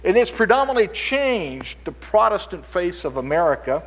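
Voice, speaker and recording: A man raised in Chile speaks from a conference stage with a fairly high, husky voice. He lectures steadily but often doubles back on his words. A long reverb from the hall trails behind him. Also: sing-song, normal speed, a bit bright. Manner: stammers and repeats words as he restarts. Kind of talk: lecture-style conference talk.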